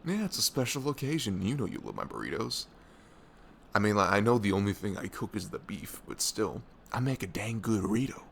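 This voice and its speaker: A man playing a devoted, goofy young best friend in an ASMR audio roleplay, earnest and nervously lovestruck, with a soft velvety voice. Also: on the low side, big pitch swings, vocal fry, slight background noise. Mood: proud, teasing. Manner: hesitant, halting, earnest.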